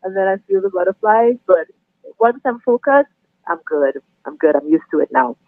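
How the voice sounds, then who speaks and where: A middle-aged woman with a Trinidadian accent, chatting warmly and laughing easily as a guest on a podcast interview. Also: mid-range voice, sing-song, normal speed, creaky, no echo. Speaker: guest, anthem singer. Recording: tinny phone line, dry.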